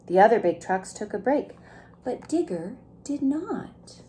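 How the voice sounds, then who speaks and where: Female preschool storyteller, mellow and unhurried, very animated pitch, gentle and engaging with little listeners. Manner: gentle, asks the listening children questions. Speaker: preschool story time reader. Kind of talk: expressive picture-book read-aloud for young children.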